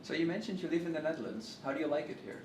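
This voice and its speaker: A man asking short interview questions in a brisk way. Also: fairly high pitch, lively intonation, darkish tone. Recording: roomy, distant mic, faint noise.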